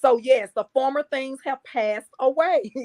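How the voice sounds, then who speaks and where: A woman's voice: sits high, measured pace, darkish tone. A woman guest on a podcast, speaking emphatically and stacking phrases for effect. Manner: emphatic, building lists for effect. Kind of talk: casual interview chat.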